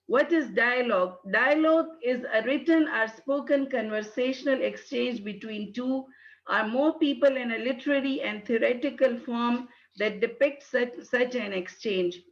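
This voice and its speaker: A woman from Pakistan, English not her first language, giving a hesitant webinar talk full of fillers. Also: fairly high pitch. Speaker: guest speaker. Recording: dull lo-fi webinar audio.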